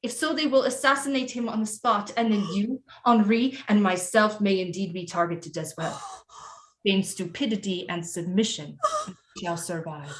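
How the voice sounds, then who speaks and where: A woman acting as a commanding, scheming Renaissance queen mother in an audio drama, with formal, deliberate delivery. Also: medium pitch, a little hiss or hum, natural voice. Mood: commanding, instructing. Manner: imperious, formal, deliberate diction.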